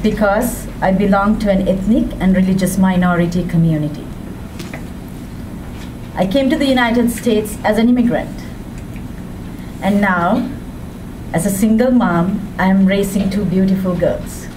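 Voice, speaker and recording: A woman from Sri Lanka giving a public speech as a women's rights advocate, with a measured, deliberate delivery and emphatic rises. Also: medium pitch. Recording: distant mic with background noise.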